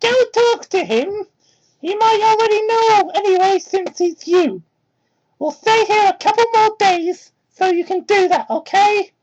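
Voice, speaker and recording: A woman's voice: unhurried, darkish tone. A woman voicing a kind, worried four-year-old boy fox in a fan fiction reading, earnest and childlike, on a muffled microphone. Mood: reassuring. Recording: muffled low-quality microphone.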